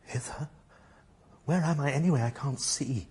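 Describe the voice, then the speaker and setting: An actor playing an earnest, bewildered count seeking redemption in a radio drama, speaking hesitantly and fervently. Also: male voice, medium pitch, normal speed, darkish tone, breathy edge. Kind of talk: British radio drama acting.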